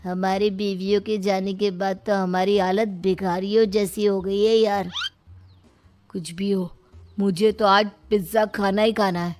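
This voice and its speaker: A woman's voice: medium pitch, level tone, unhurried, a little mellow, faint music behind, lightly acted. A woman voicing a lazy, food-loving young son-in-law in a moral story cartoon, pleading and boastful. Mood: craving, determined.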